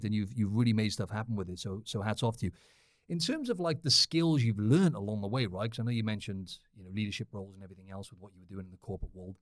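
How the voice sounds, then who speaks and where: Welsh man, podcast host, fast, upbeat, chummy. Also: on the low side, dry recording, studio quality. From Cardiff, South Wales. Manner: upbeat, chummy, says man and right a lot. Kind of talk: casual podcast interview.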